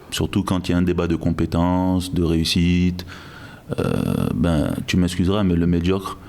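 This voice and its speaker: Guy from guinea, very low flat voice, thoughtful, keeps restarting his sentences. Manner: measured, reflective, frequent restarts. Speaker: guest, logistics director. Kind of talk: podcast interview.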